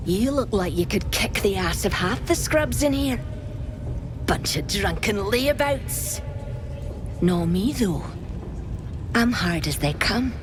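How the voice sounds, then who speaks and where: Tough, slow-talking female raider. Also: medium pitch, expressive, over music. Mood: boastful, scornful. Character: tough, boastful female raider spoiling for a fight. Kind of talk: video game voice acting.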